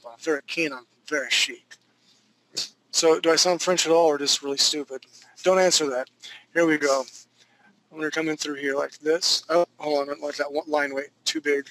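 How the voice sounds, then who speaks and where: Bright-voiced joking male drawing instructor. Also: fairly high pitch, fairly even intonation, moderate pace, rough recording. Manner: chatty, joking asides while drawing. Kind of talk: live drawing class narration.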